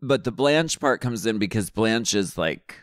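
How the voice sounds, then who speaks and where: A man's voice: fairly low pitch, expressive, measured pace, no echo, clean studio sound. A man guesting on a podcast, talking in a rambling, self-deprecating, giggly way. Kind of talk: casual podcast chat.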